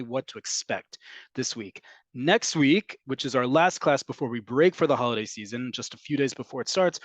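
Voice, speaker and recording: A man's voice: medium pitch, dry recording. Man from north america, online class teacher, conversational and explanatory, dry close sound. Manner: conversational, explanatory, frequent ums. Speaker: Hebrew teacher. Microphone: video call.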